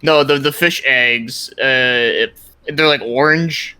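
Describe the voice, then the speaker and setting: Bright-voiced man chatting casually on a podcast. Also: mid-range voice, slow, clear, resonant tone, no room sound. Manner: rambling, peppered with "like" and swearing. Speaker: guest, creator of a fan parody series.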